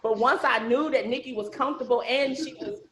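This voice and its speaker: A woman hosting a casual video call as a series writer, chatting in an animated, effusive, slangy storytelling way. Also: high-pitched, fairly quick. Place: indoor room on a video call. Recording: echoey room over video call.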